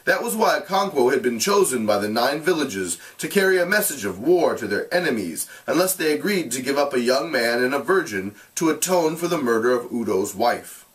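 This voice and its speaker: Animated man reading aloud, slightly distant mic. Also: medium pitch, fairly quick, hardly any pauses. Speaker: teacher reading a novel aloud. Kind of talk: expressive read-aloud narration with explanatory asides.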